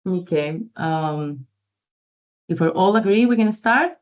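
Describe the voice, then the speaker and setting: A woman's voice: lowish voice, animated, unhurried. A woman moderating an online info session, friendly and a little hesitant. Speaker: event moderator. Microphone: video call. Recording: muffled video call audio.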